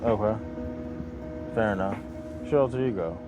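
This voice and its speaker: A man's voice: fairly low pitch, normal speed, music in the background. A man hosting a podcast interview, laid-back and agreeable, using casual slang. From Albany, New York. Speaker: podcast host.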